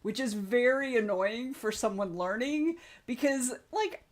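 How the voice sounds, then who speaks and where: A woman's voice: a little high, expressive, a little slow. A young adult woman hosting a writing stream, chatting casually and reading out viewer comments, rambling and frank, with some swearing.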